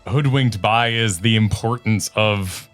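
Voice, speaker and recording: Guy with a fairly low voice chatting about games as a co-host, reacting casually, soft music under it. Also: takes it slowly. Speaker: co-host of a gaming reaction show. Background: music behind the voice.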